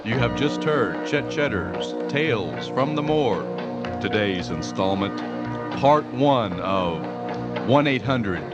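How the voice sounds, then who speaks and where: A man's voice: on the low side, expressive, takes it slowly, dull audio. A man announcing in a formal old-time radio style. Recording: loud music under the voice.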